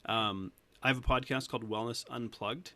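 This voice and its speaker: A man's voice: mid-range voice, fairly even intonation, moderate pace, pushed and bright. A man in his thirties, a weight loss coach talking earnestly as a podcast guest, laughing often and restarting sentences. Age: 30s. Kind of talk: casual interview talk.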